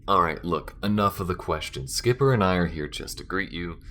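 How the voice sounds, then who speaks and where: A man playing a gruff, dry, impatient space smuggler in an audio roleplay, guarded and a little hesitant. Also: deep voice, animated, a little fast, pushed and bright, a little hiss or hum. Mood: brusque, impatient.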